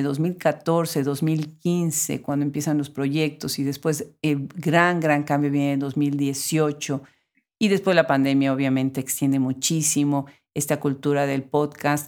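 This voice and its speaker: A woman's voice: low-pitched, a little mellow. A woman hosting a podcast interview, talking in a thoughtful way with many fillers and tag questions. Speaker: podcast host. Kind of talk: interview conversation.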